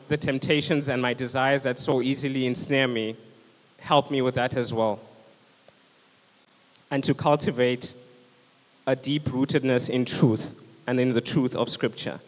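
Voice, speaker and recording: A young man delivers an earnest, urgent sermon into a handheld microphone. His mid-pitched voice rings out with a long hall reverb on the church stage. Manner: earnest, urgent preaching, standing with a handheld mic. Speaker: preacher. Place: church stage with band instruments, indoors.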